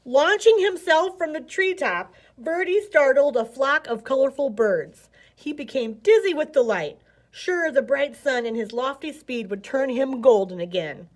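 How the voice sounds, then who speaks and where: A woman reads a storybook to children in a fairly high-pitched voice. Her delivery is expressive, with dramatic emphasis that suits a read-aloud at story time. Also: measured pace.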